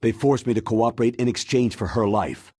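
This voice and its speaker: A man's voice: mid-range voice, fairly quick, a little mellow, breathy edge, no echo, studio quality, natural voice. A man playing a weary, earnest commander. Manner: earnest, apologetic. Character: burdened older male military commander. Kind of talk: video game cutscene.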